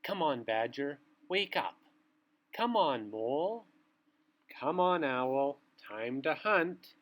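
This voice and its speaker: Man voicing playful, coaxing Night, lilting high. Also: animated, slow, fairly bright tone. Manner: coaxing, eager calls. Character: gentle, playful Night rousing woodland animals to play.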